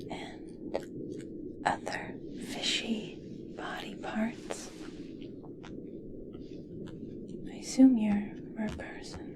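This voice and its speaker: Slow, whispering obsessed woman scientist acted out. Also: on the high side. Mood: awestruck. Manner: hushed, halting, gently fascinated. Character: obsessed, awestruck female scientist studying merpeople. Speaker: ASMR roleplay performer. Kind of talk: whispered ASMR roleplay.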